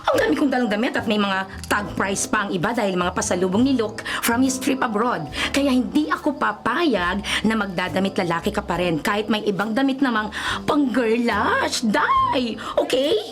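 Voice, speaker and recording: A young Filipino woman, the gushing and affectionate older sister who will not take no for an answer. Her high voice swoops with expression. It is radio drama acting over a clear music bed. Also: very animated, normal speed, few pauses. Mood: gushing, insistent. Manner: gushing, insistent, mixes in English phrases. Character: bubbly, bossy, affectionate big-sister woman, Ate Karen.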